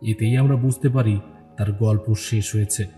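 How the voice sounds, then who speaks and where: Man, story narrator, mellow dark tone, scripted delivery, long reverb, background music. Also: lowish voice, fairly even intonation, moderate pace.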